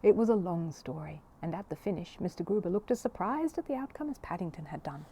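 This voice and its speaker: A woman reading a children's story aloud in an expressive narrating style. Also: on the low side, quick pace, a little hiss or hum. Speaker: storybook reader.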